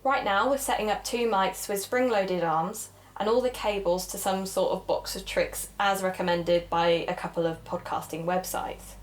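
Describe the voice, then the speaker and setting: A woman's voice: mid-range voice, fairly even intonation, moderate pace, hardly any pauses, lightly acted. Actress as keen young true crime podcast girl, chatty, explains stuff, audio drama. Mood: explanatory, keen. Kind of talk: naturalistic audio drama dialogue and narration.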